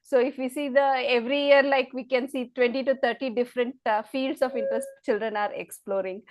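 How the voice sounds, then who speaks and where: A woman presenting the program in a measured, explanatory way. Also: high-pitched, fairly even intonation.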